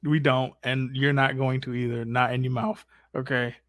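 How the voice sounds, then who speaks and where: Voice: medium pitch, level tone, moderate pace, fairly bright tone, no echo. Informal host for live audience questions, mid-range voice, slangy and repetitive, dry sound. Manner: informal, slangy, repeats phrases. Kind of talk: casual live Q&A chat.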